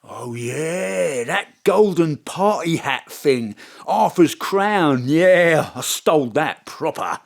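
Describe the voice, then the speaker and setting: Cocky male petty thief, British accent, wildly varied pitch, uncouth, blustering, comic audio drama. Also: lively intonation, slow pace, gravelly, hardly any pauses. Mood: boastful. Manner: colloquial, blustering, ungrammatical. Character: cocky, uncouth small-time thief, male, slangy and boastful.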